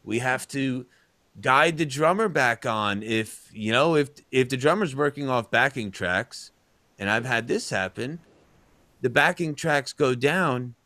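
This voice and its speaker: A man's voice: medium pitch, expressive, unhurried, sharp tone. A man co-hosting a casual talk show, rambling and chatty with lots of fillers. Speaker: podcast co-host. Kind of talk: casual chat.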